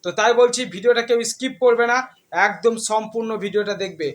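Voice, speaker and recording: A man's voice: very high voice, fast talker. A man hosting a casual step-by-step tutorial, instructing his viewers like friends. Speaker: tutorial host.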